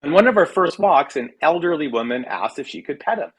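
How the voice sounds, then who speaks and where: An American man giving an expressive reading of a humorous personal essay, with wry, dramatic emphasis. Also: on the high side, brisk, breathy edge. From California, United States. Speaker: writer reading own work at a literary reading.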